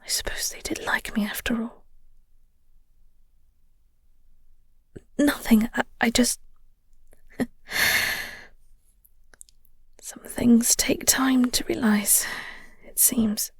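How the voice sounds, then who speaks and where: A woman playing a flirty, tender vampire in an intimate audio roleplay, breathy and half-whispered, with a halting, teasing delivery. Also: a little high, no echo, clean studio sound, exaggerated voice. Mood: soft, tender. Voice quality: breathy, husky. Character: flirty, gentle vampire nemesis comforting a heartbroken human.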